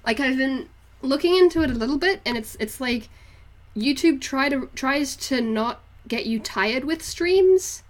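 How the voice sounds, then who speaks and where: Casting: young female streamer, fairly high voice, Geordie-Kiwi hybrid accent, informal and giggly, rambling chat. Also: expressive, measured pace. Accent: hybrid northeast English and New Zealand. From northeast England, moved to New Zealand as a child. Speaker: art livestreamer. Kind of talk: casual livestream chat with viewers.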